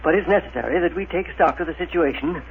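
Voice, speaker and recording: A man's voice: fairly high pitch, fast talker, gravelly. An actor playing a learned male scientist, precise, formal and theatrical, in a 1930s radio adventure serial. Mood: concerned, businesslike. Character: learned, deliberate scientist doctor with his own laboratory. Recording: muffled, noisy old 1930s radio.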